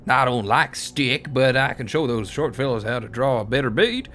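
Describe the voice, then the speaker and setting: A man's voice: mid-range voice, expressive, normal speed, fairly bright tone, slight background noise, natural voice. A man voicing a brash, impatient male military recruit with a blunt, swaggering manner in a fantasy audiobook. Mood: confident.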